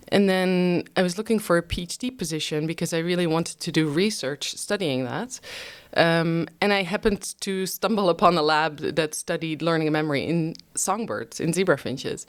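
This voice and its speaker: A Dutch-native woman in her thirties, a researcher answering interview questions in English, thoughtful and full of ums. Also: deep voice. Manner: thoughtful, many ums, careful explaining. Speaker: guest researcher.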